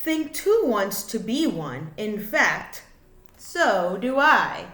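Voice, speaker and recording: Animated woman reading a children's storybook. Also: mid-range voice, echoey room. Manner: deliberate, expressive, phrase-by-phrase delivery for young listeners. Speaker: teacher reading a children's book aloud. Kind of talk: children's storybook read-aloud.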